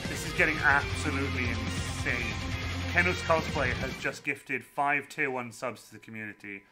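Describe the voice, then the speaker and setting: A man's voice: medium pitch, over loud music. A man hosting a live stream from a room at home, chatting casually with a guest and reading out viewer messages. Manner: lively, chatty, quick asides to viewers. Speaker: streamer host.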